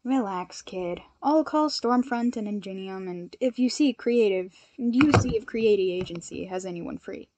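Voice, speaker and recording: A woman's voice: mid-range voice, a little slow, few pauses, warm tone, natural voice. Lady doing a no-nonsense dispatcher barking orders, slangy and clipped, fanfic reading. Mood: dismissive.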